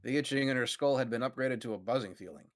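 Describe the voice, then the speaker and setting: A man's voice: mid-range voice, fast, no room sound, clean studio sound. A man reading a story aloud as narrator for a group, with amused asides to friends.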